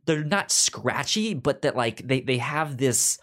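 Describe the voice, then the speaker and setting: A man co-hosting a casual comic book discussion, enthusiastic, with a halting delivery that repeats words mid-thought. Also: medium pitch. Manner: enthusiastic, animated, breaks into mock quotes. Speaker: podcast co-host.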